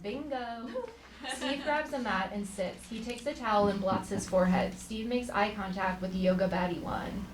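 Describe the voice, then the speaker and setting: A woman reads out stage directions at a live table read. She has a medium pitch. The sound is distant and roomy, with clear noise around her. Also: normal speed. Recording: echoey, noisy, distant live stage pickup.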